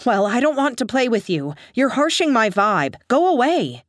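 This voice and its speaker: A woman's voice: mid-range voice, lively intonation, moderate pace, no echo, clean studio sound, pitched above the performer's own voice, natural voice. A woman voicing a haughty, cool-headed heroine who gives clipped, defiant retorts.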